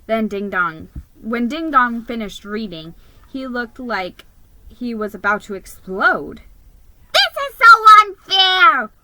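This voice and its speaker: A woman giving a theatrical, expressive reading of a children's Christmas story. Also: mid-range voice, slow pace, a little hiss or hum, rough recording. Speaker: storybook reader.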